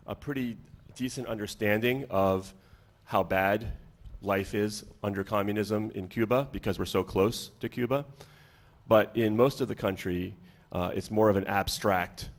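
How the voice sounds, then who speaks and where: A man from Boston moderates a student panel in a low, gravelly voice. He talks off the cuff, circling and rephrasing as he goes. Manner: rambling, searching for words. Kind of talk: unscripted panel introduction and questions.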